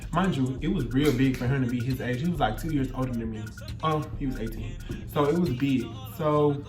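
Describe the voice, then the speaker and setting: A man in his twenties with a Louisiana Southern accent tells a story in casual, slangy chat, with a feminine, flamboyant delivery and repeated phrases for emphasis. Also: medium pitch. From Louisiana, United States. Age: 20s. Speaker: YouTube storyteller. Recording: roomy echo, music behind the voice.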